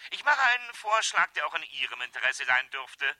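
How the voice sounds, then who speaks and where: An actor playing a smug, greedy blackmailer with suave, mockingly courteous manners in a 1970s radio play. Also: male voice, a little high, big pitch swings, moderate pace, pushed and bright, exaggerated voice. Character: smug, mock-polite male blackmailer, coolly greedy. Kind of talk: 1970s German radio drama acting.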